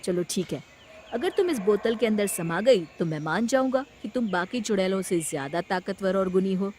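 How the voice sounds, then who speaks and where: A woman's voice: mid-range voice, lively intonation, normal speed, background noise, natural voice. A woman voicing a cunning, confident village man in a storybook tale, speaking persuasively. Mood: challenging.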